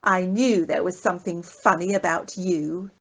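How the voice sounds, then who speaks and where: A woman's voice: lowish voice, moderate pace, vocal fry, a bit bright, breathy edge, full character voice, poor audio. A woman playing a bossy, cold, scheming ringleader in an amateur radio play. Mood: grim.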